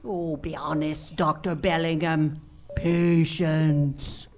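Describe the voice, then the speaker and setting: Female actor as sinister nurse: low, very slow drawl, mock-sweet menace, stage radio play, faint noise. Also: flat delivery, darkish tone. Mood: sardonic. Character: sly, sardonic sinister nurse, villain's accomplice. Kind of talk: live stage radio drama acting.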